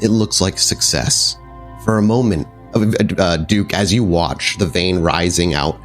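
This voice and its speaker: A man running a tabletop horror game, narrating descriptively and searching for words as he improvises. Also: deep voice. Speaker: game master. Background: background music.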